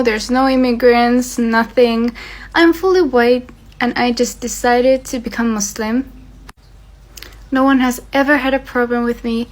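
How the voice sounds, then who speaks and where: Earnest young woman, high voice. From Finland. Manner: earnest, impassioned, with frequent fillers. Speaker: vlogger. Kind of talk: earnest talk to camera.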